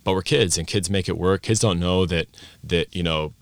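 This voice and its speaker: A man's voice: deep voice, moderate pace, no room sound. A man who grew up in Austria, a language teacher and presenter, talking reflectively with frequent restarts. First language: English and German bilingual. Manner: reflective, many restarts and self-corrections. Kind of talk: conversational podcast interview.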